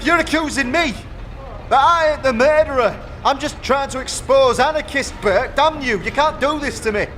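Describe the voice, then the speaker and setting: A man voicing a shifty workman villain in an audio drama, blustering in rough slang and tripping over words under pressure. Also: very high voice, moderate pace, fairly bright tone, a little hiss or hum, voice pushed up. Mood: angry, cornered.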